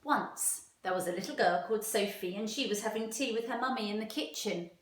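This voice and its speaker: A woman's voice: medium pitch, fairly quick. A woman teacher reading a storybook aloud to young children, expressively and with questions for them. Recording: roomy echo, distant microphone.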